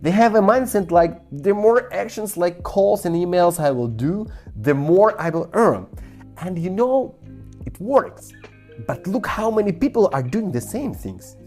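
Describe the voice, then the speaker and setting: Low-pitched woman with a Ukrainian accent. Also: expressive, measured pace, dark tone, faint music behind. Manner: emphatic, persuasive, addressing the viewer directly. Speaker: startup founder, business course presenter. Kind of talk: scripted master-class sales presentation.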